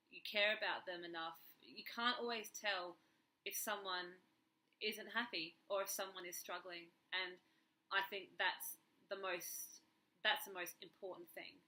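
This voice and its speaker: A woman vlogger with a slightly croaky, under-the-weather voice talks earnestly and emphatically to camera, repeating key words for stress. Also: medium pitch, pushed and bright, slight background noise, low-quality recording. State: slightly unwell, a bit croaky. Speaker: YouTube vlogger. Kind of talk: earnest personal talk to camera. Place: carpeted room at home, indoors.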